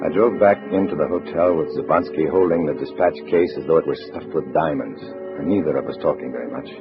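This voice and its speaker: Very deep male voice, tough wary secret agent, clipped wry delivery, 1950s radio drama, dull vintage recording. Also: fairly quick. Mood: grim narrating. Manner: hard-boiled, clipped, wry. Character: tough, wary male undercover agent posing as communist comrade. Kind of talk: 1950s radio drama acting with first-person narration. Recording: muffled old radio recording, loud music.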